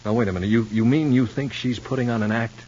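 An actor playing an earnest, concerned confidant in an old radio soap opera, with a halting, emphatic delivery. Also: male voice, medium pitch, darkish tone, lightly acted. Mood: indignant. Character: earnest, concerned male confidant pleading for understanding. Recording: muffled old radio recording, noisy hum.

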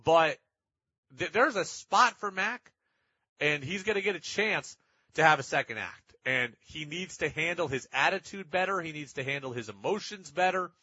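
A man's voice: fairly high pitch, normal speed, sharp tone, slightly rough, no echo, muffled recording. A man hosting sports talk radio, giving opinionated commentary in an emphatic way and repeating points for effect.

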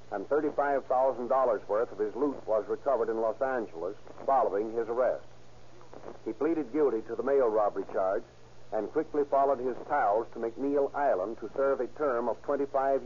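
A man reading formal, scripted 1930s radio narration as a police chief. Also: mid-range voice. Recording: dull, faintly noisy vintage radio recording.

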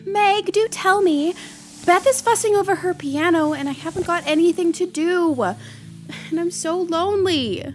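A woman's voice: extremely high pitch, a little slow, soft background music. A woman acting a proud, petulant young girl, the youngest sister, in an audio play, with a whiny, dramatic and insistent delivery. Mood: pleading.

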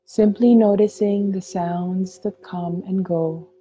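A woman guiding a meditation in a gentle, soothing, unhurried voice, leaving long pauses between phrases. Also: mid-range voice, fairly even intonation, husky. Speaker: meditation guide. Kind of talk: guided meditation narration.

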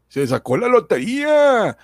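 Man acting high-voiced, eager stammering young fellow. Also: huge pitch swings, unhurried, no echo, full character voice. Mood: breathless excitement. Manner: stammering, repeating himself. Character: breathless, eager, pushy young male neighbour. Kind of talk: radionovela acting.

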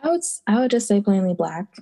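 Twenties woman from the Bahamas, mid pitch, heavy vocal fry, relaxed and hesitant. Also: brisk, faint music behind. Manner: relaxed, hesitant with ums, laughs softly. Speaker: podcast guest. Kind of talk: casual interview.

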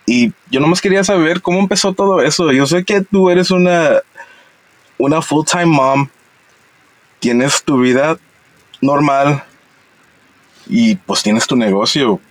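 A man's voice: fairly high pitch, measured pace, pauses often, dry recording, rough recording. A man hosting a casual interview, speaking hesitantly and self-conscious about his Spanish. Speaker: podcast host.

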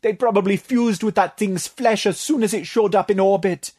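Man voicing jittery fish-man, high, loud, moaning. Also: normal speed, husky, dry recording, clean studio sound. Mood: anguished. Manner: frantic, whiny, moaning. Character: panicky gilled male sea creature.

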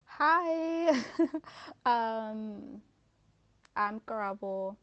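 A nineteen-year-old woman, introducing herself hesitantly with many pauses. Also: on the high side, expressive, no room sound, muffled recording. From South Africa. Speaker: podcast guest.

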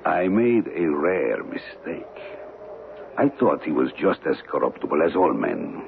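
An actor playing a shady, calculating smuggler in a radio mystery, speaking deliberately and formally with dramatic pauses. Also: male voice, rumbling low, big pitch swings, vocal fry, heavily acted. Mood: scheming, persuasive. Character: shady, calculating male smuggler of stolen antiquities. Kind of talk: old-time radio mystery acting. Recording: muffled, noisy old radio broadcast recording.

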